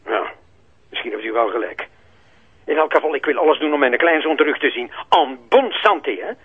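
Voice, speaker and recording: An actor playing an imperious, impatient elderly Flemish grandfather who mixes in French, husky and heard through a telephone. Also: fairly high pitch, lively intonation, fairly quick. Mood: concerned, insistent. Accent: Flemish, French-tinged. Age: older. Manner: demanding, peppers speech with French. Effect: telephone filter. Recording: thin, tinny phone line.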